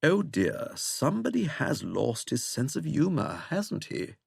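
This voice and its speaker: A man's voice: fairly high pitch, wildly swooping pitch, unhurried, gravelly, slightly rough, dry recording, studio quality, pitched above the performer's own voice, full character voice. A man voicing a suave, menacing English wizard in an audiobook, with a plummy accent and a languid, mocking delivery. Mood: amused, mocking. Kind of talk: audiobook character acting.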